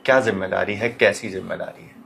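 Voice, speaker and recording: A man's voice: lowish voice, steady tone, quick pace, vocal fry, a bit bright, slight background noise. A man hosting a podcast interview, asking conversational questions and agreeing in short affirmations, with English terms mixed in.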